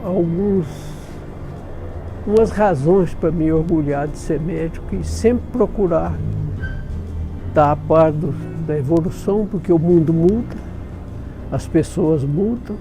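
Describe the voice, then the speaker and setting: A man's voice: fairly high pitch, slow, creaky, over music, poor audio. An older man from the south of Minas Gerais, a retired doctor in his eighties, telling his life story in a folksy way with chuckles. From south of Minas Gerais, Brazil.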